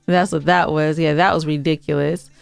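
A woman's voice: sits low, fairly even intonation, moderate pace, vocal fry, smooth, no echo. An American woman co-hosting a podcast in casual banter, blunt and emphatic in the way she gives her opinions. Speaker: podcast co-host.

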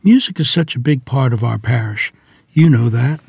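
Man playing a husky, courteous country churchman. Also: mid-range voice, normal speed, no room sound. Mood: earnest, persuasive. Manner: deferential, folksy, gently persuasive. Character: courteous, devout 1940s rural churchgoing piano tuner. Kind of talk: screenplay table read.

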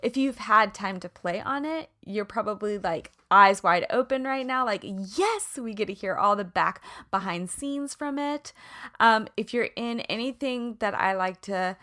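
Bright-voiced bubbly woman host. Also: medium pitch, a little slow. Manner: bubbly, lots of "like" and "you know". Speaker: podcast host. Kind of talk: casual interview chat.

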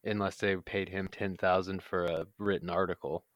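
A man's voice: deep voice, level tone, normal speed, no room sound, lo-fi sound. A man co-hosting a casual podcast, talking loosely and hesitantly with plenty of fillers. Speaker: podcast co-host.

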